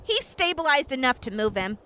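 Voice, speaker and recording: A woman playing a sardonic, blunt, sharp-tongued doctor in a post-apocalyptic audio drama. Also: high voice, very animated, smooth, exaggerated voice. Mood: brisk, clinical. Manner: sarcastic, blunt, deliberate.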